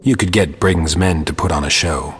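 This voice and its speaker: A man playing a stoic monster hunter in a video game, terse and deadpan, with a gravelly, husky voice. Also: sits low, brisk, exaggerated voice. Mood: sardonic, terse. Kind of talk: scripted video game dialogue. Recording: long cavernous reverb.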